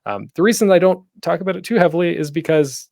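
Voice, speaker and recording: Casual man with lively, swinging pitch. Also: fast talker, creaky, no room sound, studio quality. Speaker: panel host giving a podcasting tutorial. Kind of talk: casual, informal presentation.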